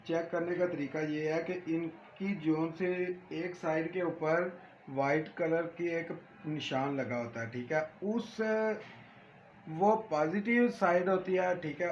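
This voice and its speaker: A man giving an informal hands-on repair tutorial, speaking straight to viewers and repeating his points. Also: on the high side. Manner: addresses viewers directly, repeats points and checks for understanding. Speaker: electronics repair tutorial host. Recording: echoey room, background noise.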